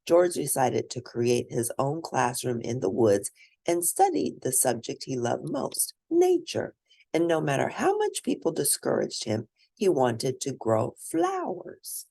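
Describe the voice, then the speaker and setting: Very low-voiced woman reading a storybook. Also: big pitch swings, a little slow, gravelly, warm tone. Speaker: host reading picture books aloud. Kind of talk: read-aloud children's storybook narration.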